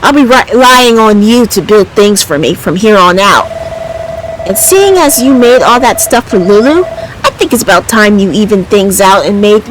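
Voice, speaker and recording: A woman's voice: medium pitch, expressive, moderate pace, voice pushed down, close to an everyday voice. A woman voicing a brash, grumpy, impatient young man from a video game, talking bluntly and casually. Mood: resigned, blunt. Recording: clearly noisy background.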